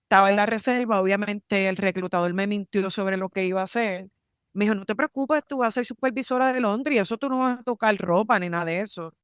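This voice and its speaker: A woman from Puerto Rico with a Puerto Rican accent, telling her story in a lively interview and mixing English terms into her Spanish. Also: mid-range voice, fast, clean tone, no echo, studio quality. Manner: animated, emphatic, mixes English terms into Spanish. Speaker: army lawyer, guest.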